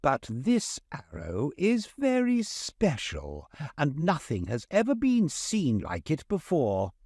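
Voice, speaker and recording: A man's voice: a little high, huge pitch swings, slow pace, warm tone, no room sound, studio quality, pitched above the performer's own voice, exaggerated voice. A man voicing a scheming, smug sheriff, the villain of a children's tale, in a sly and self-satisfied manner. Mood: boastful.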